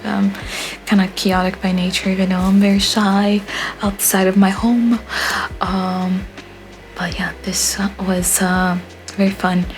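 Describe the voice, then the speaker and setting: Soft-spoken young woman. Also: medium pitch. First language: Haitian Creole. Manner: soft-spoken, rambling, frequent um fillers. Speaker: art vlogger. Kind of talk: voice-over vlog narration.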